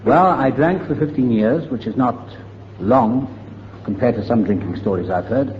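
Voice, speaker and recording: A man's voice: mid-range voice, moderate pace, highs cut off, slight background noise. Older Welsh man telling his story. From Wales. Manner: reflective, candid, wry storytelling. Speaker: AA meeting speaker. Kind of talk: personal recovery story at a meeting.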